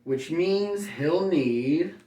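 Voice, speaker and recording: A man's voice: on the high side, lively intonation, drawn-out words, mellow. A man reading a children's picture book aloud like a kindergarten teacher, breaking off for playful, folksy, chatty asides. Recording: roomy echo, distant microphone.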